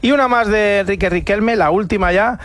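A man's voice: high voice, fairly quick, fairly bright tone, dry recording. A man with an accent from Spain hosting a radio show in casual, joking banter. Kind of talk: casual comic banter and presenting.

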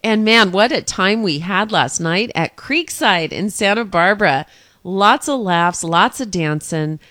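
A woman radio DJ with a hoarse, stuffed-up, nasal voice, chatting casually and cheerfully between songs. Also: mid-range voice, expressive, a little slow, a bit bright, no room sound, clean studio sound. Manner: chatty and upbeat.

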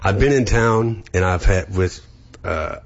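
Very deep-voiced male sports announcer. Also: unhurried, gravelly, highs cut off. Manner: hesitant, many fillers and restarts, outgoing. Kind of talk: casual interview chat.

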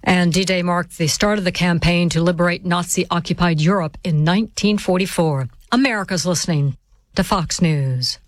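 A woman's voice: lowish voice, moderate pace. A woman news anchor reading a scripted radio bulletin in a studio. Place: dry broadcast studio.

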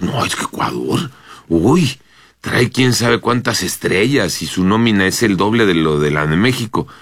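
A man's voice: low-pitched, fairly bright tone, no room sound, clean studio sound. A man with a Mexican accent hosting a morning news radio show, chatting with opinions and often checking that his co-host agrees.

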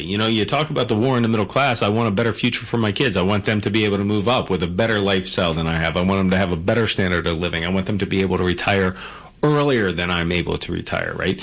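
A man speaks in a relaxed explanatory monologue. He leans on rhetorical questions and quick check-ins with the listener. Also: fairly low pitch. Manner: conversational, rhetorical questions, frequent "okay?" and "right?". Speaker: financial commentator.

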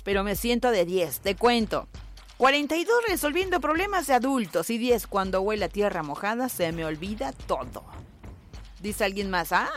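A woman, hosting a live radio show and reading out listener messages in a chatty way, often laughing and exclaiming. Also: mid-range voice. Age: 40s. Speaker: radio host. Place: radio studio. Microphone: studio microphone.

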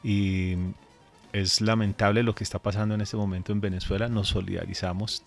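A man's voice: fairly low pitch, level tone, a little fast, vocal fry, soft background music. A man who is a pastor, raised in Colombia, telling a story earnestly and measuredly in a radio interview.

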